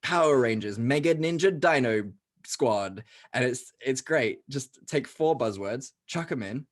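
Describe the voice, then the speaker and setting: A young man co-hosting a movie podcast in casual chat, laughing often and tossing in quick asides. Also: medium pitch, expressive. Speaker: podcast co-host.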